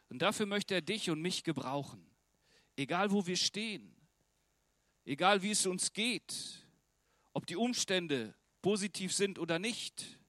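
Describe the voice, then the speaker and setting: Earnest German preacher, dry close sound. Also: male voice, sits high, fairly bright tone, dry recording. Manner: earnest, conversational preaching with direct questions to listeners. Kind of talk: sermon.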